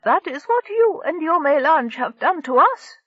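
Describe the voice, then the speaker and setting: A woman's voice: high voice, very animated, a little slow, no echo, pitched above the performer's own voice, full character voice. A woman voicing a severe alien starship captain who speaks with firm emphasis. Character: stern alien Criddy captain, female, unbending. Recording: dull lo-fi dry recording.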